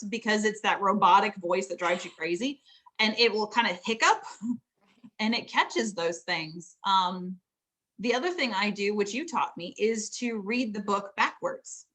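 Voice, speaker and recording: A middle-aged woman, a fantasy author, chatting casually about writing, laughing often and filling pauses with ums. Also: medium pitch, lively intonation, fairly bright tone, low-quality recording.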